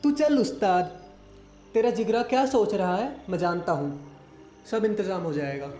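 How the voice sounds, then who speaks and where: A woman's voice: mid-range voice, lively intonation, moderate pace, dark tone, lightly acted. A woman playing a knowing, loyal village henchman in an audio play. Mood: conspiratorial. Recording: echoey room, faint music.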